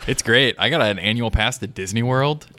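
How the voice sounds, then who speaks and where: A man's voice: medium pitch, fairly quick, pushed and bright. A man in his thirties co-hosting a podcast, teasing his partner with dry jokes. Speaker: podcast co-host. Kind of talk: casual podcast banter.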